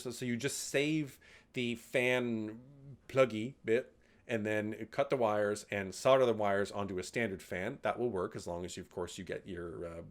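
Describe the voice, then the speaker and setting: A man hosting a tech video, talking casually and jokingly as he explains hardware tips, swearing now and then and getting louder on each new tip, recorded indoors on a camera mic while he holds up parts. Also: on the low side. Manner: jokey, swears casually, lively bursts on tip headings. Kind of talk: casual instructional talk.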